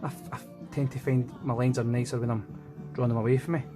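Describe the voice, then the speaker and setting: A Scottish man talks casually through his own artwork, thinking out loud as he goes. His voice sits at an average male pitch, and music plays clearly behind him. The delivery is informal, like an illustrator narrating a vlog. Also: little pitch movement, fast. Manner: informal, thinking aloud while drawing. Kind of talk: casual art vlog commentary. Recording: music playing in background. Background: background music.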